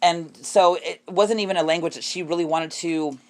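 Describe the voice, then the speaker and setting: A man's voice: on the high side, fairly even intonation, normal speed. A man in a casual, opinionated podcast discussion, telling things in a rambling way and correcting himself as he goes. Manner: rambling, self-correcting storytelling.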